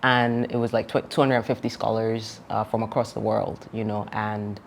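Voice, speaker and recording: A woman's voice: rumbling low, level tone, normal speed, creaky, slight background noise. A Nigerian woman from Nigeria, a founder speaking as an interview guest, telling reflective stories in an earnest manner, laughing often and filling with "like" and "you know". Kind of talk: reflective conversational storytelling.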